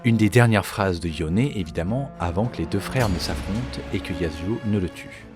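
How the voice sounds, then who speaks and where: A man's voice: low voice, expressive, moderate pace, loud music behind the voice. A man giving explanatory commentary as a video game lore creator.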